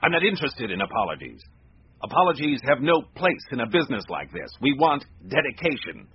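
An actor playing a stern, unforgiving company boss in a radio drama, scolding with authority. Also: male voice, mid-range voice, sing-song, brisk, breathy edge. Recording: dull lo-fi radio recording, faint noise.

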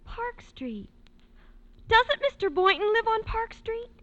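A woman's voice: extremely high pitch, sing-song, takes it slowly, dull audio, full character voice. An actress playing an eager, gossipy teenage schoolgirl in the style of old radio comedy. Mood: curious, teasing. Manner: girlish, gossipy. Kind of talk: 1940s-50s radio comedy acting. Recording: old radio recording with faint hiss.

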